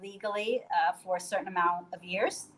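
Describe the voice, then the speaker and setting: A woman's voice: lowish voice, fairly even intonation, a little fast, a bit bright, poor audio. A woman from Puerto Rico talking casually on a video call from a guesthouse bedroom, loose and chatty with many fillers and restarts. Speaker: guest, hostel owner.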